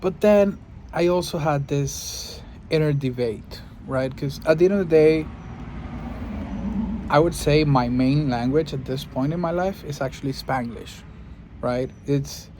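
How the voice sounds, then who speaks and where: A man's voice: fairly high pitch, measured pace, dark tone, slight background noise. A man, a Spanish speaker, musing thoughtfully in a casual monologue to camera and often searching for his words. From Venezuela. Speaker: podcaster and video creator.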